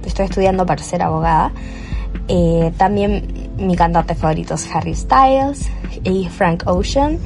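A woman's voice: fairly low pitch, unhurried, creaky, soft background music. A cheerful young woman with a Chilean accent, talking off the cuff as host of her own podcast on a cheap home microphone, with fillers and restarts. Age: young adult. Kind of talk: improvised solo podcast monologue.

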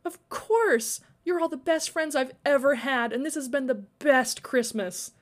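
A woman voicing a sweet-natured, excitable, gushing girl in a story. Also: high voice, measured pace, voice pushed up.

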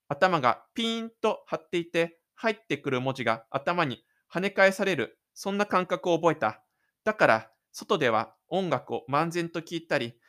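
Male narrator for an audio drama, scripted storytelling, pitch a touch high. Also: a bit bright, smooth.

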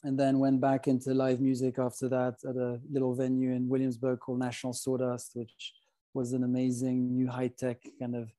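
A man's voice: mid-range voice, dark tone. A middle-aged man from French-speaking Switzerland telling his own story as an interview guest, in a hesitant, reflective, conversational way. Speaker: guest, company founder.

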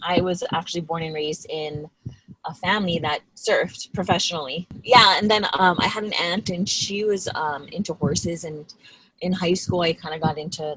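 A woman's voice: deep voice, slight background noise, rough recording. A young woman from Hawaii, a podcast guest, talking casually about her upbringing with lots of fillers and restarts. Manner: rambling, many fillers and restarts. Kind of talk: casual interview conversation.

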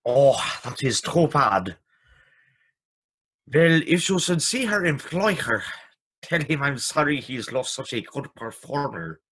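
An actor playing a sweet, chatty, genial old clockmaker in a vintage-style radio play. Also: male voice, mid-range voice, animated, fairly bright tone, husky, full character voice. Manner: genial, chuckling, deliberate shopkeeper politeness. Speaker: actor in live radio theatre.

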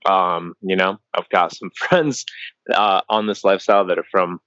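A laid-back man in his early thirties from Colorado, talking as a podcast guest with a low, deep voice. He rambles easily, restarts his sentences and drops "man" and "bro" everywhere. The sound is dull and dry, like a lo-fi remote call. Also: fairly even intonation, normal speed, a bit bright, dry recording. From Colorado, USA. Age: early 30s. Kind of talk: casual interview chat.

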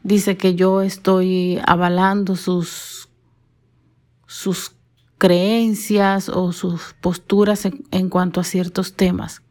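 A woman's voice: lowish voice, little pitch movement, slow pace, darkish tone. A woman from the Dominican Republic hosting her own podcast, talking alone to her listeners in careful, formal speech shaped by training in broadcasting. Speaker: podcast host. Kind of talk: solo podcast monologue.

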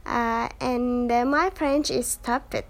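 A woman in her twenties from Kazakhstan, a native Kazakh speaker with a Kazakh accent, hosting a casual solo podcast and pausing often to search for words. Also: fairly high pitch, fairly even intonation. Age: 20s. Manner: halting, searching for words with many fillers. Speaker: podcast host.

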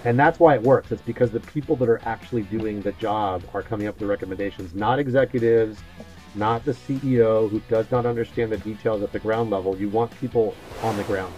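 Warm low-voiced man, dry and muffled. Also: fairly quick, soft background music. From Mexico, raised in West Texas. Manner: relaxed, explanatory, many fillers. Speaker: podcast guest, sales advisor. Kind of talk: casual interview answers.

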